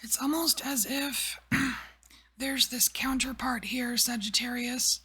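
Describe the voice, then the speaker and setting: Woman, tarot reader, whispering, very breathy, slightly high voice, rambling. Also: fairly even intonation, takes it slowly. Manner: soft whispering, rambling, lots of fillers.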